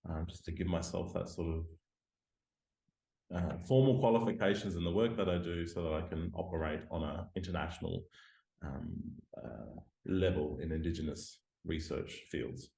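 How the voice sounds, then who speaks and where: A man from Australia, a scientist and lecturer, talking thoughtfully over a video call and pausing often with ums. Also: sits low, gravelly, mellow, reverberant. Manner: hesitant, many ums, self-deprecating. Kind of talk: reflective interview talk. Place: home room by a window.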